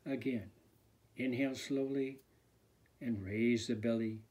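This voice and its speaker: A man, a fitness instructor, leads a guided meditation in a slow, soft, soothing voice that often sinks to a near whisper. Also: mid-range voice, steady tone, slightly rough.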